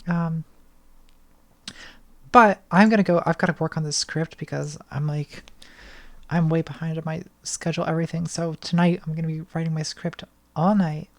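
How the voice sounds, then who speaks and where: A young woman answering patron questions on a casual livestream from her bedroom, thoughtful and hesitant, with a slightly hoarse voice, speaking into a studio mic. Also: low-pitched, steady tone, normal speed, pauses often. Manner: thoughtful, hesitant, many restarts and ums. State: voice getting hoarse. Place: small bedroom lit purple. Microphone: studio mic on arm, front of frame.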